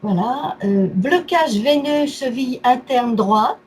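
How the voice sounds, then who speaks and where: Very high-pitched woman, short itemizing phrases. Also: expressive, takes it slowly, slightly rough. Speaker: therapy client. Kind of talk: casual recounting of health complaints. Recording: faint background noise.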